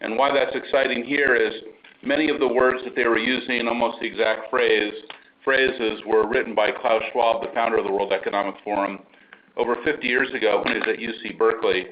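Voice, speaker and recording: A man's voice: medium pitch, steady tone, a little fast, dull audio. A man, a company chief executive, speaking on an earnings call in an upbeat, enthusiastic way, with frequent fillers. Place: remote call line from abroad.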